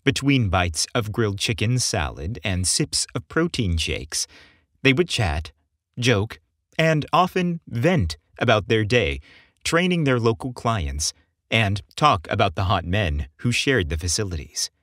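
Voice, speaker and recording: A man's voice: on the low side, very animated, a little slow, fairly bright tone, no echo, studio quality. A man hosting and narrating a scripted story podcast with theatrical, dramatic phrasing.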